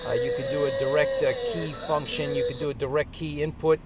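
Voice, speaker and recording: A man giving a casual hands-on product review outdoors, speaking in a halting, hesitant way. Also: on the high side, over loud music. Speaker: radio reviewer. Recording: outdoor noise, insects and traffic.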